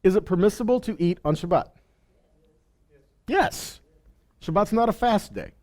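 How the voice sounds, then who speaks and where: High-pitched man preaching with lively swings. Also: normal speed. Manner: emphatic question-and-answer delivery, repeats phrases. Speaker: preacher teaching scripture. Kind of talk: teaching sermon with rhetorical questions and scripture reading.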